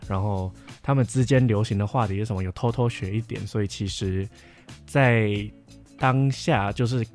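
A man's voice: on the low side, normal speed, darkish tone, faint music behind. A young adult man with a Taiwanese Mandarin accent, chatting casually as a podcast speaker. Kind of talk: casual chat.

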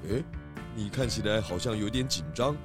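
A man voicing a calm pig detective chief who questions suspects in a children's audio drama. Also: lowish voice, moderate pace, music in the background, natural voice. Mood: calm questioning.

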